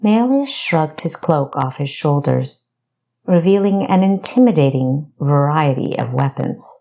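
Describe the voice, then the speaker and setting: A woman narrates a scripted story as an audiobook. Her voice sits low and she moves slowly through the prose. The sound is a little dull. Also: animated, gravelly, dark tone. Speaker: author narrating own audiobook.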